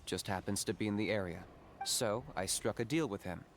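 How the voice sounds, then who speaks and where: A man playing a calm, pragmatic young warrior in a video game, speaking in a dry, measured way. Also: lowish voice, a little hiss or hum, natural voice. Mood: cool, dry. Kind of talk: video game character acting.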